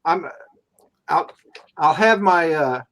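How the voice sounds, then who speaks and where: A man's voice: on the high side, lively intonation, slow pace, a bit bright. A man in his sixties hosting a casual group chat, speaking in an easygoing conversational style. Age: 60s. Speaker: host of a group livestream.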